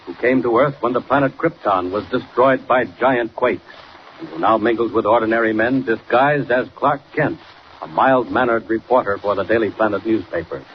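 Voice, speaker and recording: A man's voice: lowish voice, moderate pace. A man narrating a radio serial as its announcer, in a dramatic 1940s scripted style. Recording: muffled, noisy 1940 radio recording.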